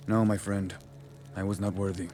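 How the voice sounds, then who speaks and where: A proud male rebel warrior played by an actor talks in a fairly deep voice with formal, deliberate gravity. The delivery is dramatic game-cutscene acting over faint music. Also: level tone, mellow, natural voice. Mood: grave, regretful. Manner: formal, deliberate, few contractions. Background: faint background music.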